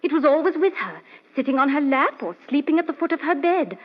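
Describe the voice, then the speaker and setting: On a dull old radio recording, a young lady's companion with a very high voice. She is earnest and chatty, with a genteel, fluttery manner suited to a vintage radio mystery. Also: very animated, a little fast. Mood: anxious, explaining.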